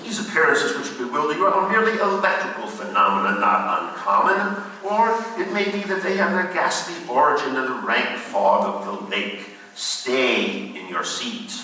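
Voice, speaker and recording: An actor playing an anxious, earnest gentleman in a gothic radio drama, speaking in formal, dramatic language. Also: medium pitch, close to an everyday voice. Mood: alarmed, pleading. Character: anxious, earnest gentleman friend narrating a gothic horror tale. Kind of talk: theatrical narration and radio drama acting. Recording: very echoey hall, distant mic, faint noise.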